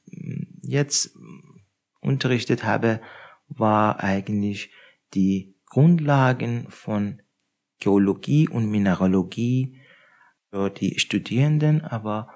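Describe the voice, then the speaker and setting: A man from Iran, answering interview questions about his research in a hesitant way with frequent pauses and fillers. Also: medium pitch, darkish tone. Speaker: guest researcher.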